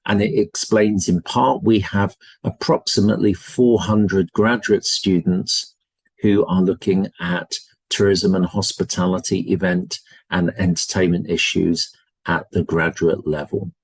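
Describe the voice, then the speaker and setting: A man's voice: sits low, steady tone, measured pace, breathy edge, no room sound. A man with a British English accent, a university representative giving a polite, enthusiastic webinar presentation over a video call. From United Kingdom.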